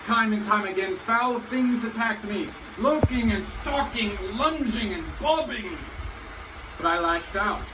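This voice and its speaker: A man's voice: high-pitched, measured pace, voice pushed up. A man playing a boastful heroic warrior, declaiming an epic monologue with proud, dramatic delivery. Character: boastful heroic warrior recounting sea battles. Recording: echoey room, clear background noise.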